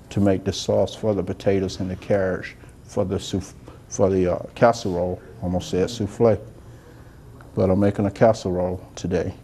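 A middle-aged man, a soft-spoken chef talking casually through a cooking demonstration in a studio kitchen. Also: fairly low pitch, slight background noise. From Georgia, US. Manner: soft-spoken, rambling and homey, talks while working. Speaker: chef and cooking show host. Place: indoor demo kitchen set.

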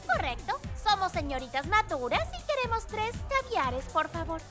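Cartoon girl hero: sky-high, wildly swooping delivery, dramatic and bold, over loud music. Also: sing-song, normal speed. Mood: eager. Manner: exclamatory, theatrical. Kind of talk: animated cartoon dub acting.

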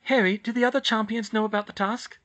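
A man voices a clever teenage schoolgirl witch in a storybook, lifted high and bright. She is bossy and earnest, speaking in an organised, insistent way. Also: steady tone, quick pace, muffled recording. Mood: brisk.

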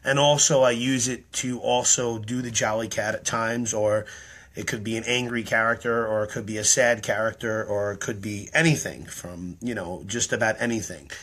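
Man in his thirties, a little hoarse and tired, rambling earnestly to a close webcam in a small room. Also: lowish voice, fairly even intonation, normal speed, a bit bright. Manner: earnest, rambling explanation with many ums. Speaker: online performer explaining his act. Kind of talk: casual talk to camera. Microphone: webcam or phone at close range.

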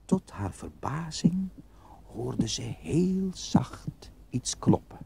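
A man's voice: medium pitch, sing-song, drawn-out words, gravelly, background noise. A man narrating a fairy tale as a scripted storyteller.

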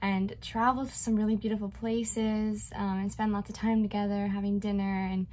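A woman's voice: medium pitch, little pitch movement, dark tone. Chatty young woman vlogging from her cabin. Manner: bubbly, chatty, quick asides. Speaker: cruise ship performer. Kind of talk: casual vlog chat to camera. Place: small ship cabin, indoors. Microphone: phone camera at arm's length.

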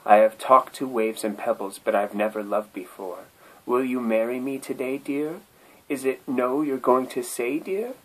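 A Scottish man voicing a lovesick male turtle who pleads in a high, sing-song voice. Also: normal speed, natural voice, rough recording. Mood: tender. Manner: imploring, sing-song, rising to a high wail.

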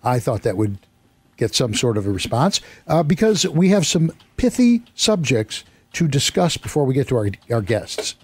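A male radio host with a hoarse, worn voice, talking in a chatty, rambling, self-deprecating way in a studio. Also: medium pitch, big pitch swings, moderate pace. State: hoarse, losing his voice. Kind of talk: casual radio talk. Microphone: studio microphone.

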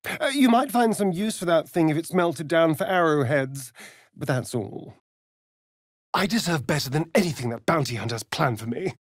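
A sardonic, vain elven vampire rogue from a fantasy game, with an English accent. His voice is breathy and close, recorded very dry. He draws his words out in an arch, mocking way, with theatrical swoops in pitch. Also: animated, normal speed, no room sound. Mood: grand, measured. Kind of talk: video game character voice lines, theatrical delivery.

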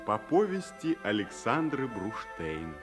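A man's voice: on the low side, very animated, takes it slowly. A man reading a scripted title announcement as the announcer of a radio play. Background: music.

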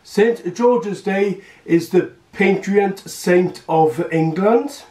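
A young man speaks slowly and earnestly to his camera, stumbling over words and filling gaps with "uh". His voice sits a bit high for a man. The sound is echoey and distant, as if the camera sits across a bare room. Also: warm tone. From Wales. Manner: halting, many fillers and restarts, earnest. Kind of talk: casual vlog speech to camera. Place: small room at home, indoors.

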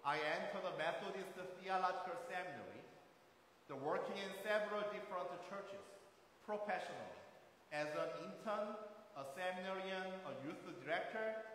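A man in his thirties from South Korea with a Korean accent, preaching a sermon as a guest pastor in a careful, measured way. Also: high voice, animated, fairly bright tone. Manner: careful, measured delivery with occasional rephrasing. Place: large church sanctuary. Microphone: far camera at back of sanctuary. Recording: echoey sanctuary, faint hiss, from far off.

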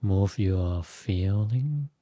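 A man leading a guided meditation as a teacher, giving gentle instructions in short phrases with long pauses. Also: sits low, expressive, no echo. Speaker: Buddhist monk, meditation teacher.

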